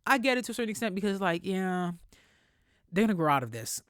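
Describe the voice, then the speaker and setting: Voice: mid-range voice, sing-song, fast talker, fairly bright tone, smooth, no room sound, studio quality. A podcast host giving casual, opinionated celebrity commentary in a conversational, emphatic way with plenty of slang.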